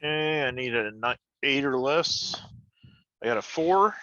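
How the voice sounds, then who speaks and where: A man with a bright, forceful voice at medium pitch talks casually as a game player. The audio is dry and narrow, slightly lo-fi. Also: animated, measured pace, no room sound. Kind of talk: casual game-table chat.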